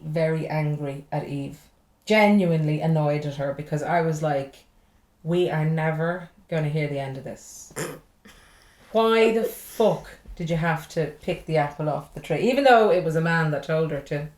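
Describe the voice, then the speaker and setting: A woman's voice: low voice, unhurried, darkish tone, roomy sound. An Irish woman who is a stand-up comedian, chatting casually on a podcast, swearing freely and rambling from joke to joke. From Ireland.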